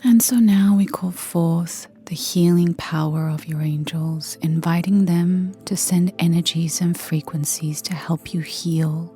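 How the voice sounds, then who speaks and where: A woman leading a guided meditation in a soft, breathy near-whisper, gentle and soothing, with unhurried pauses. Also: fairly low pitch, steady tone. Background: soft background music.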